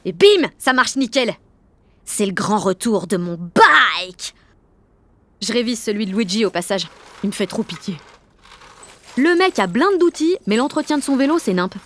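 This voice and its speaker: A woman's voice: medium pitch, sing-song, normal speed, pauses often, a bit bright, no room sound. An actress playing a blunt, fiery sixteen-year-old French girl who narrates an audio drama in sardonic slang. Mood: cheeky, upbeat. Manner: colloquial, heavily slangy, sardonic.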